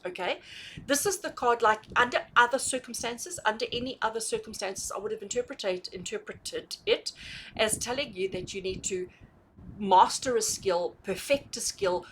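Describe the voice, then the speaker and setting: A woman's voice: a little high, normal speed, a bit bright, slight background noise, low-quality recording. A woman giving a conversational tarot reading to viewers, emphatically repeating and rephrasing her points and checking in with questions. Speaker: tarot reader hosting a reading.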